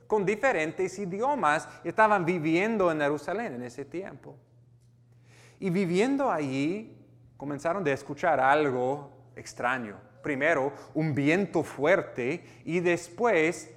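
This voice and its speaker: Pastor with an American accent, slightly higher voice than most men, earnest, stumbling through some words, with a long hall echo. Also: male voice. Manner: earnest, with restarts and self-corrections. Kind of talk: sermon, reading and explaining scripture.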